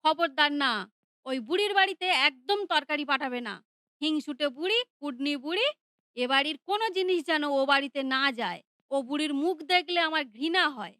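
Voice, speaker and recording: A woman's voice: sits high, lively intonation, normal speed, pushed and bright, no echo. A woman voicing a bossy, spiteful mother in a cartoon who scolds sharply.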